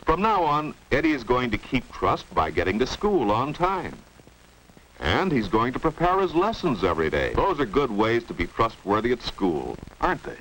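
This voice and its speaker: A man's voice: medium pitch, a bit bright. A man giving scripted 1950s educational film narration, didactic, often posing rhetorical questions. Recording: noisy old 1950s film soundtrack.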